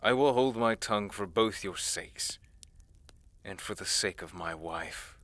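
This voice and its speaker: A proud, angry fantasy lord, acted by a man, talks very slowly with a tense, forceful edge. He is stern and blunt, distrustful of others, in a low voice suited to audio drama. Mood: grudging anger. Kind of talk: dramatic audio roleplay acting.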